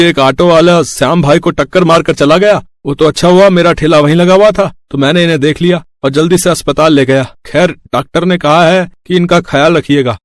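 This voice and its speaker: A man's voice: a little high, no echo, studio quality, natural voice. A man voicing a helpful street-vendor family friend in a moral story, talking in a chatty, respectful way.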